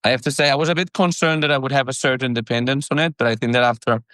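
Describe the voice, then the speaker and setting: A man's voice: medium pitch, steady tone, racing, a bit bright, dry recording. Chatty male podcast host. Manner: chatty, friendly, stumbling restarts. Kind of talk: casual podcast interview.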